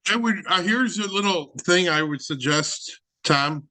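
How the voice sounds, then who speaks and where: A man's voice: high voice, expressive, measured pace, sharp tone, no echo, rough recording. A man co-hosting an investing chat, offering advice in a hesitant way with many fillers.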